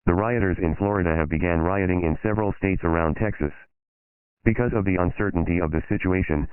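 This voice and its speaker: A synthetic male text-to-speech voice reading a formal, measured emergency message. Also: deep voice. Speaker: emergency alert announcer. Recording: tinny phone-like radio audio, noisy.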